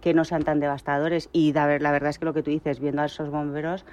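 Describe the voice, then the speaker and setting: A woman speaking as a panel guest, in measured, halting phrases. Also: deep voice, flat delivery, a little mellow. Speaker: financial analyst, panel guest. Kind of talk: unscripted market commentary.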